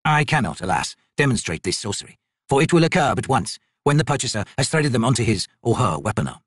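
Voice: mid-range voice, very animated, breathy edge, no room sound, clean studio sound. A narrator playing a meek, nervous old male trader in an audiobook, hesitant and fussily formal. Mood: squirming, formal. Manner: slow, hesitant, formal and fussy.

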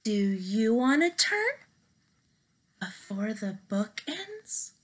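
A young woman reading a children's picture book aloud in an expressive, dramatic and playful manner. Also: on the high side, drawn-out words. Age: young adult. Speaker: storybook reader. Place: small bedroom, indoors.

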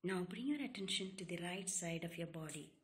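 A woman leads a guided relaxation meditation, giving calm, soothing instructions in short, separated phrases. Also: lowish voice, fairly quick. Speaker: yoga nidra meditation guide.

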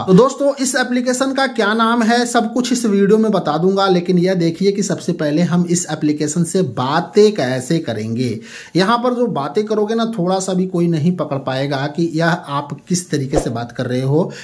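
A man hosting a tech tutorial, explaining an app step by step in a repetitive, instructional way. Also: fairly high pitch.

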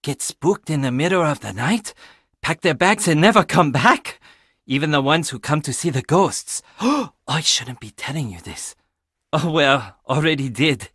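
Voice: mid-range voice, lively intonation, measured pace, a bit bright, slightly rough, no room sound, full character voice. Young handyman, video game character, puzzle nerd, chatty, sardonic, swooping intonation, dry recording. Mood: wry, confiding. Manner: enthusiastic, sardonic, casual asides. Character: quirky, upbeat, chatty young puzzle-loving handyman at an inn.

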